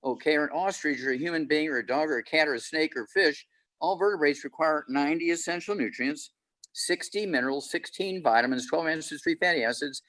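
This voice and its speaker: A man's voice: fairly high pitch, a little fast, fairly bright tone, low-quality recording. A man in his eighties, a panel guest on a video call, talking boastfully and rambling with many fillers. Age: 80s.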